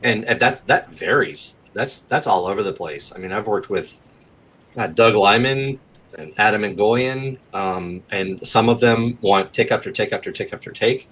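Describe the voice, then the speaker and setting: A man's voice: fairly low pitch, normal speed, fairly bright tone, breathy edge. A man working as an actor, talking casually and self-deprecatingly as an interview guest.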